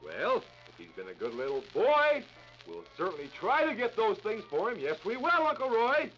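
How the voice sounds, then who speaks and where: A man's voice: high voice, wildly swooping pitch, moderate pace, a bit bright, soft background music, heavily acted. A man playing a jovial, kindly old Santa Claus in a hearty, formal, emphatic way on live 1950s radio. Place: toy store live radio broadcast. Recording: dull, noisy 1950s live radio recording.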